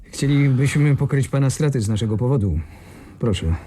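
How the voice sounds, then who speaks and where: A male actor as a determined gang lieutenant in a radio thriller. He is polite and assured at a medium pitch, with clear noise in the background. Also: moderate pace, dark tone, natural voice. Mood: polite, sly. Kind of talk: radio crime drama acting.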